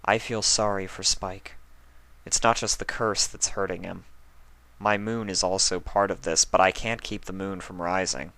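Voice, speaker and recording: A man voicing a stern but caring pony princess of the night who talks softly and gravely. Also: sits low, little pitch movement, moderate pace, dry recording.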